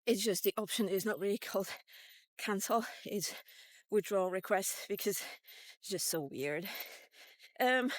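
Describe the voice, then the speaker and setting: A woman's voice: mid-range voice, a little slow, long pauses, dry recording. A woman in her fifties giving a casual, blunt, sweary and rambling vlog rant. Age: 50s. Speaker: vlogger. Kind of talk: casual rant to camera while walking.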